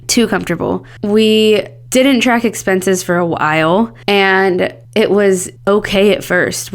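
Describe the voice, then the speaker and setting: A woman in her thirties hosting a solo podcast, talking in an earnest, candid and upbeat way, her voice a bit scratchy from a cold. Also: mid-range voice. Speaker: podcast host.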